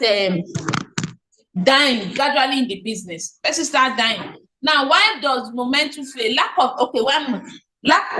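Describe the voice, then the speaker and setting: A woman's voice: high voice, fairly bright tone, slight background noise. A woman with a Nigerian accent leading an online training, repeating points and answering her own questions. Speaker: team leader. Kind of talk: motivational business training. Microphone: online meeting call. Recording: echoey room on a call.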